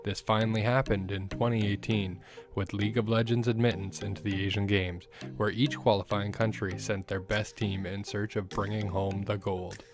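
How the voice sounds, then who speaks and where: Male documentary narrator, low register, scripted read over a clear music bed. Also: little pitch movement, normal speed.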